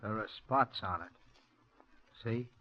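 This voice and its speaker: A meek creepy young handyman, soft and fussy, dull old radio sound. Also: on the low side, takes it slowly. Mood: quietly odd. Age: young adult. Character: meek, odd young male handyman, quietly unsettling. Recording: lo-fi 1940s radio broadcast.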